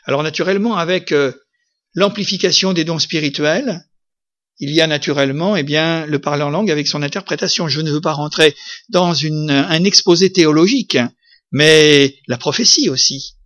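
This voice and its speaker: A male pastor delivering a sermon in a somewhat high voice. He preaches emphatically, pushing up to loud, ringing peaks and then sinking back to quieter stretches. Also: normal speed, dull audio.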